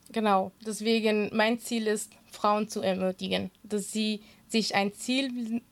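A woman whose first language is Kurdish, giving earnest, conversational interview answers in non-native German as a guest. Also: medium pitch. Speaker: interview guest, swimming teacher and social media creator.

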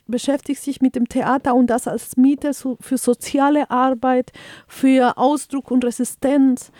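A woman co-hosting a radio talk show with a fairly high but mellow, warm voice. She speaks thoughtfully and often pauses to search for her words. The sound is dry and close to the mic. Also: dry recording.

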